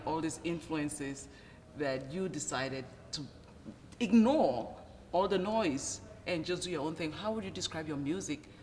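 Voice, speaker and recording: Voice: medium pitch, a little hiss or hum. Interview host, curious and easygoing, reverberant room with faint ambience. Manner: curious, laughs easily. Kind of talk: casual interview questions.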